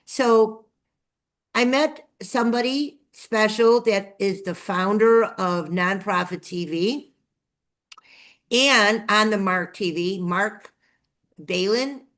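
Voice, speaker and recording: A woman's voice: mid-range voice, slow pace, fairly bright tone. An older woman with a Chicago accent telling her story as an interview guest, effusive and emphatic, repeating phrases for effect. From Chicago, United States. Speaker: guest, nonprofit TV promoter. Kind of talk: enthusiastic personal storytelling in an interview.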